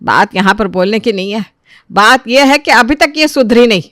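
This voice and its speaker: A woman acts out an older, domineering mother-in-law in a family drama. She scolds in a raised, exasperated voice with a sharp manner, recorded close and dry. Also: mid-range voice, fairly even intonation, a little fast, dry recording, close to an everyday voice. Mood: angry, exasperated. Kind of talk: Hindi family audio drama acting.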